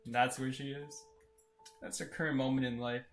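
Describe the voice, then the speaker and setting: A young man commentating his own gameplay live, excitable and laughing often, going from loud disbelief to low mutters. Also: normal speed, faint music behind. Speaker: game streamer.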